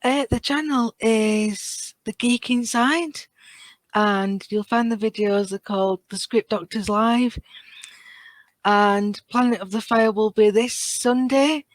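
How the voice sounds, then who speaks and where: A woman's voice: a little high, steady tone, slow pace, dry recording, poor audio. A woman chatting casually and enthusiastically as a guest on a fan podcast.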